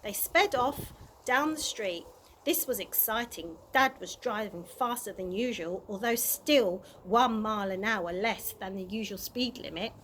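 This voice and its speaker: A woman narrating a children's chapter book aloud in an expressive storytime style. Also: medium pitch, measured pace. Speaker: early years practitioner reading a story. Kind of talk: animated children's audiobook narration. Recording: clear background noise.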